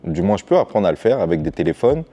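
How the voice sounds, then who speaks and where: A man's voice: on the low side, big pitch swings, fast talker, gravelly, dark tone. A man speaking as a podcast guest, explaining things in an informal, conversational way. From France, Paris suburbs, Martinican family. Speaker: podcast guest, financial educator. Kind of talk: casual interview, recounting his path.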